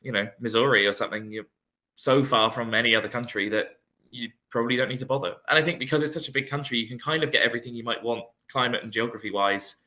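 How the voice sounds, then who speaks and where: A man's voice: medium pitch, fast talker, sharp tone. A British man in a casual conversation, explaining things in a rambling, chatty way. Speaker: guest in a friendly conversation.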